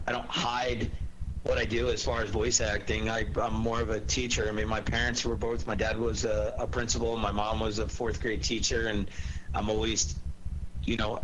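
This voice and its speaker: A man's voice: lowish voice, fairly bright tone, a little hiss or hum, poor audio. A man who works as a voice actor, talking informally and chattily in a casual group conversation. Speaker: voice actor and panel guest.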